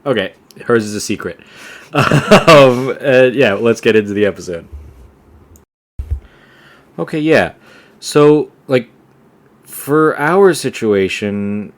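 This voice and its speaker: A young man co-hosting a podcast, talking casually in a relaxed, rambling way with lots of fillers and restarts. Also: on the low side. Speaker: podcast co-host. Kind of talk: casual conversation.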